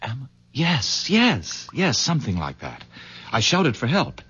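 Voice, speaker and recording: A nervy young man, stammers a lot, husky, lively delivery. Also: mid-range voice, slow pace, full character voice. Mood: earnest. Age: young adult. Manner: stammering, hesitant. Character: stammering young visitor, earnest. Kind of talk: 1940s radio acting. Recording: lo-fi old radio broadcast.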